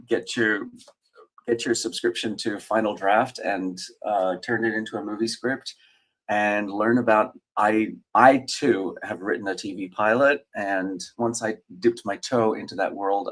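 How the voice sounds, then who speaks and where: A middle-aged man chats loosely on a livestream, his voice sitting a little low. He rambles through viewer comments with lots of ums, uhs and restarts. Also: fairly even intonation, a little slow, fairly bright tone, poor audio. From Australia. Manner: rambling, hesitant, many fillers and restarts. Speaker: host. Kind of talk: casual livestream chat, reading viewer comments.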